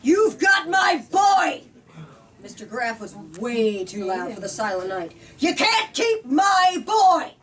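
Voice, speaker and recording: A woman voicing a belligerent, wounded drunk father in a storybook reading, pleading and quick to bellow. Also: sits high, very animated, fairly bright tone, shouting, pitched above the performer's own voice, exaggerated voice.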